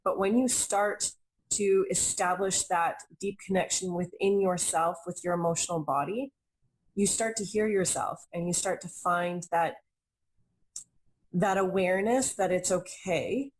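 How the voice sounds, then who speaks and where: A woman's voice: fairly low pitch, a little slow, slight background noise, rough recording. A young adult woman speaking as a guest in an interview, earnest and reflective, often repeating phrases for emphasis. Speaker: intuitive reader and healer, guest. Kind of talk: conversational interview.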